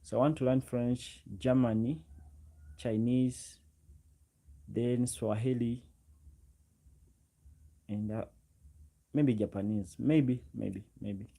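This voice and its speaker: A man's voice: mid-range voice, fairly even intonation, slow pace, vocal fry, long pauses, mellow. A man in his twenties with a Ugandan accent, chatting casually on a livestream, speaking directly to viewers and often repeating his phrases. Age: 20s. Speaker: live streamer. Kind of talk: casual livestream chat, reading and answering comments.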